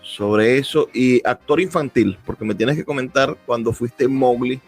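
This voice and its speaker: A man's voice: medium pitch, measured pace, slightly rough, soft background music. A man with a Venezuelan accent hosting a radio interview and putting questions to his guest.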